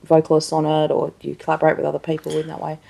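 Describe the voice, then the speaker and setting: A woman's voice: sits low, completely flat delivery, rapid-fire, creaky, mellow. A woman hosting a conversational interview, speaking informally and thinking aloud as she goes. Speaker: interviewer, show host.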